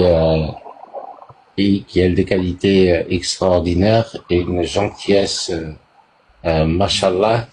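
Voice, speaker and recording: A man calling into a live chat to give a testimony, speaking haltingly with many fillers. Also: low voice, warm tone, slight background noise, rough recording. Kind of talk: giving a testimony.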